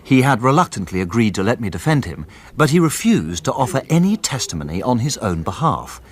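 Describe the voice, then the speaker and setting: A man acting as a thoughtful lawyer who narrates a radio drama in a measured, gently wry way. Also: medium pitch, very animated, lightly acted. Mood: reflective, wry.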